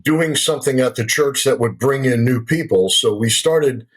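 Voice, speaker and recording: A man in his sixties with a somewhat husky voice recounts his life story as a guest. He speaks reflectively and unhurriedly, a former radio broadcaster settling into his memories. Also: mid-range voice, normal speed, poor audio. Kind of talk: personal testimony in conversation.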